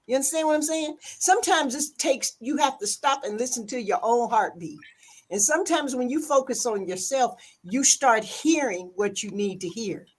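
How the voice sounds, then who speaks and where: High-voiced woman giving gentle advice. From a big city. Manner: soothing, coaxing, calls listener sweetheart and baby. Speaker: live stream host. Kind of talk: casual advice chat. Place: live stream studio.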